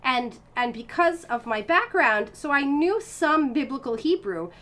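A woman's voice: high voice, measured pace. A woman in her thirties from the United States, telling her story in a casual interview with many fillers, restarts and laughs. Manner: animated, frequent fillers and restarts, laughs often. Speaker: interview guest, violinist.

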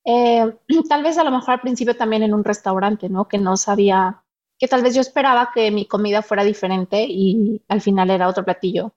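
A woman's voice: medium pitch, a little fast, a little mellow. A Mexican woman with a Mexican Spanish accent, chatting casually as a guest and laughing often through her stories. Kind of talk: casual conversation. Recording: dull lo-fi sound.

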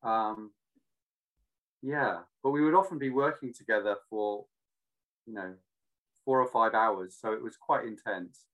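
A man from Cardiff with a British but not Welsh accent, talking casually and reflectively, often pausing to search for words. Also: fairly low pitch, phone-quality sound. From Cardiff, Wales. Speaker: interview guest.

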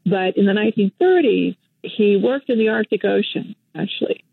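A woman's voice: medium pitch, moderate pace, gravelly, husky, dry recording. An older woman from Western Canada, a guest activist and journalist, speaking on the phone in a reflective, storytelling way with many fillers and restarts. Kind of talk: casual interview on the phone. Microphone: telephone. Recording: tinny phone line.

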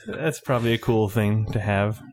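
A man's voice: fairly low pitch, fairly even intonation, normal speed, slight background noise. A man co-hosting a video game podcast in casual comic banter, playfully riffing.

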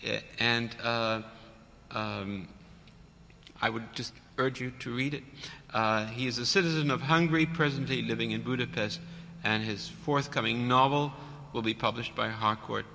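A man hosting a reading series, introducing a guest author with halting phrasing and frequent fillers. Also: mid-range voice, vocal fry, a little hiss or hum. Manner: warm but halting, with fillers and restarts. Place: church hall. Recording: reverberant church, faint ambience.

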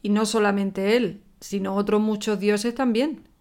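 A woman's voice: medium pitch, steady tone, unhurried, a little mellow, natural voice. A woman playing a frank, contented young goddess of love in scripted audio fiction. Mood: matter-of-fact.

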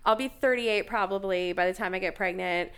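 A woman's voice: mid-range voice, a little fast, a bit bright, clean tone. A woman in her late thirties, talking bluntly and casually on a podcast, swearing now and then and laughing easily. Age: 30s. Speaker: podcast co-host. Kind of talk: casual chat.

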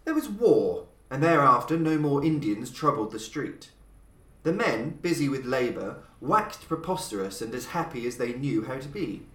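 A man hosting a podcast and reading a classic horror story in a measured, dramatic narrating style. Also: roomy sound. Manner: measured, dramatic delivery with lively pitch swings. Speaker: podcast host and reader.

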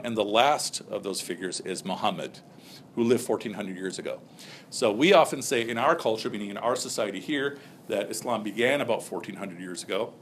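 Man in his forties, low-pitched, lecturing, faint room noise. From Pakistan, raised near Chicago. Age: 40s. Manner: explanatory, checks in with "okay?" and "right?". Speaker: speaker on Islam. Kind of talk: lecture to undergraduates.

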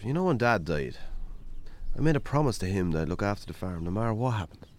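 An actor playing a weary, wry Irish farmer in a naturalistic radio drama. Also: male voice, lowish voice, sing-song, fast. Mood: reflective, subdued.

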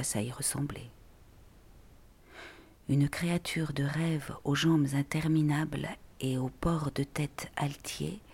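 A literary reading in a half-whispered, very husky voice. The phrasing is measured and slow, with plenty of creak at the edges. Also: medium pitch, a little mellow.

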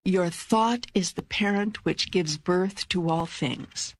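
Woman reading as a husky, wise divine teacher. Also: on the low side. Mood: solemn, teaching. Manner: measured, emphatic, deliberate phrasing. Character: God, a calm, wise, authoritative divine teacher. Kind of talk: audiobook dialogue reading.

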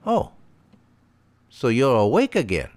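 An actor playing a cold, menacing killer with a smooth polite front in a 1940s-style radio thriller, sardonic and deliberate. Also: male voice, mid-range voice, wildly swooping pitch, a little mellow, no echo. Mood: cold menace.

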